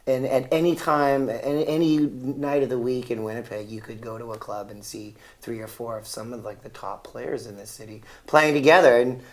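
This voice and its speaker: Halting man, casual musician. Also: medium pitch, fairly even intonation. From Canada. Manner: halting, full of fillers and restarts. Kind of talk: casual interview.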